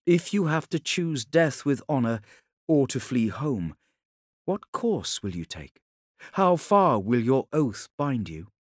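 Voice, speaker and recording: Man voicing an earnest woman counsellor, lifted pitch. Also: big pitch swings, slow pace, no room sound, clean studio sound. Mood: probing, insistent. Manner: direct, questioning, insistent.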